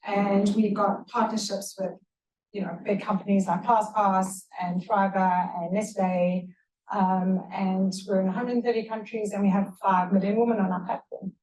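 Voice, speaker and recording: A woman with a South African accent speaking on a panel as a tech founder, relaxed and enthusiastic, with many fillers. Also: mid-range voice, normal speed. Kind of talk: panel talk. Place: event hall. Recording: echoey room, distant mic.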